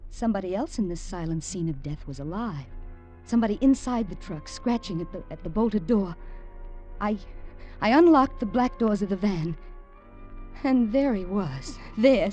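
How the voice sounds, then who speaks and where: A woman's voice: medium pitch, mellow, lightly acted. An actress playing a frightened young woman who narrates her ordeal and stammers in a 1950s radio drama. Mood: shaken. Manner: breathless, stammering, tense. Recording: old radio drama with music underneath.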